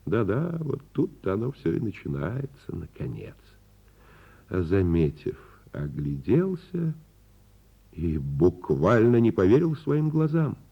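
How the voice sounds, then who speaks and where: Gentle fatherly storyteller, very low husky voice, musing, expressive, radio play. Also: slow pace, lo-fi sound, full character voice. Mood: wondering. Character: gentle father-like storyteller telling a bedtime tale.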